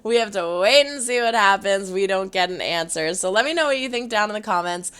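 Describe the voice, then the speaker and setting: Hoarse, chatty woman vlogger. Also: medium pitch, fairly quick. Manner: chatty, animated, rambling with restarts. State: hoarse, losing her voice. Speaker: reaction video host. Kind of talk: casual reaction vlog to camera.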